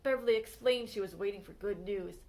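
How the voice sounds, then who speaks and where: A woman librarian reading a picture book biography aloud for children, with expressive storytime delivery that slows down on exciting moments. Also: medium pitch.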